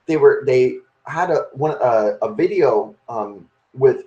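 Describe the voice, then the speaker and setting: A young man hosting a casual podcast, talking through his ideas with plenty of fillers and restarts. His voice sits at an ordinary pitch for a man. The room adds a noticeable echo. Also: expressive, slow pace, darkish tone, highs cut off. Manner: rambling, full of fillers and restarts while arguing a point. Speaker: podcast host. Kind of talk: casual chat. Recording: roomy echo.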